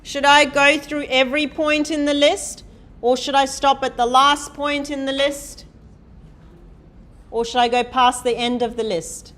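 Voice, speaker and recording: High-voiced woman lecturing loudly. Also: steady tone, measured pace. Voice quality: loud voice. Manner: chatty, asks the class questions, thinks aloud while typing. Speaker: university programming lecturer. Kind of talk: live coding lecture. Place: lecture hall.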